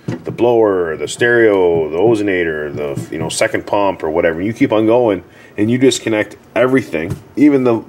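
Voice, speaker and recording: A man giving a casual, unscripted how-to as a repair technician, plain-spoken and halting, often repeating himself. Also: low voice. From Canada. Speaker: hot tub repair technician giving a tutorial. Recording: clearly audible background noise.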